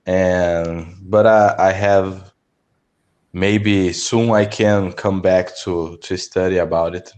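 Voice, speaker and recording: Slow, deep Brazilian man learning English. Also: steady tone, a little mellow. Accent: Brazilian Portuguese. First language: Portuguese. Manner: hesitant, halting with restarts. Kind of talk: online language lesson conversation. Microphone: video call.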